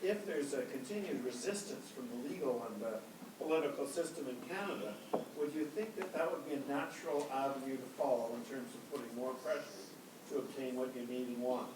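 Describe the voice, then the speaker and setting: A loud-voiced man asks a question from the audience, heard from some distance off the mic. His voice rings in a very echoey hall over a steady low rumble. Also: fairly quick. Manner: loud, self-aware. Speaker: audience member. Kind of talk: question period question.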